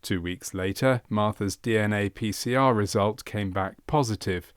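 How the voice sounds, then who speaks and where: A man reading scripted documentary narration for a medical training film in a measured, factual manner. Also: lowish voice, clean tone, no echo, clean studio sound. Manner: measured, factual delivery of clinical case history.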